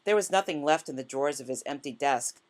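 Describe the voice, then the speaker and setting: A woman reading literary fiction aloud as scripted narration, in a measured manner. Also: deep voice, darkish tone. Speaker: author reading a short story.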